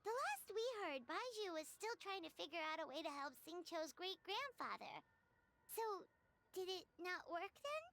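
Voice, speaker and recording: A woman voicing a small, childlike fairy companion in a video game, chatty and quick to exclaim. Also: very high voice, sing-song, pushed and bright, a little hiss or hum, exaggerated voice. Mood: concerned. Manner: exclamatory, chatty, reacts with alarm.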